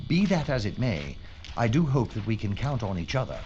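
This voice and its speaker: Actor as earnest wartime detective inspector, lively swooping intonation, courteous formal speech, period drama, muffled sound with some noise. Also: male voice, on the low side, a little fast. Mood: resigned. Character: earnest, dutiful British police inspector in wartime London. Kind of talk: period audio drama dialogue. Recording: slightly muffled with background noise.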